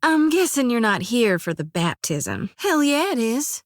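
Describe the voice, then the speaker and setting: An actress voicing a folksy, earnest young priestess of a death god in a video game, with a drawl, rambling and plainspoken. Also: female voice, on the high side, very animated, a little slow, dry recording, clean studio sound.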